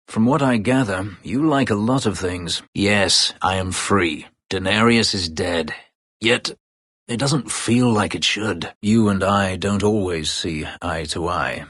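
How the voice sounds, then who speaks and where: Brooding escaped-slave elf fighter for fantasy RPG: deep, raspy, terse, formal, sardonic edge, dry studio sound. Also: male voice, fairly bright tone, dry recording, heavily acted. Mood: dry, guarded, then somber, sincere. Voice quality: husky, gravelly low voice. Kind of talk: dramatic fantasy game voice acting.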